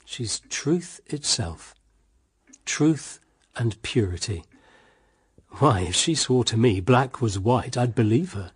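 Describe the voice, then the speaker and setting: A man's voice: medium pitch, lively intonation, slow pace, long pauses, slightly rough, full character voice. An actor playing a stern, possessive, idealistic married man in a period radio drama, speaking in an emphatic, moralizing way. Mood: tender, devoted.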